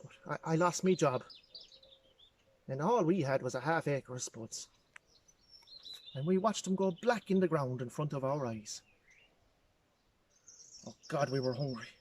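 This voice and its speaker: A man with an Irish accent acting a poor, grieving nineteenth-century farmer, telling his story in plain, halting rustic speech. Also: fairly high pitch, darkish tone, slight background noise, close to an everyday voice. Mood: sorrowful. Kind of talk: dramatic historical monologue.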